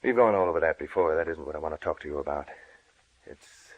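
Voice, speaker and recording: A sober lawyer in vintage radio drama. His voice is very deep and husky, and he speaks with measured, no-nonsense authority. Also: dull audio. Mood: grave, firm. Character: businesslike lawyer, grave, matter-of-fact, in charge. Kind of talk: old-time radio drama acting.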